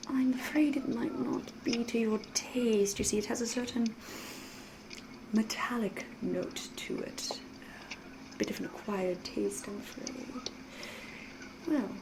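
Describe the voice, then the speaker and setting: A woman playing a sly, elegant, flirtatious vampire in a soft-spoken, half-whispered ASMR roleplay, with genteel phrasing and teasing pauses. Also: on the high side, rough recording. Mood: sly, inviting.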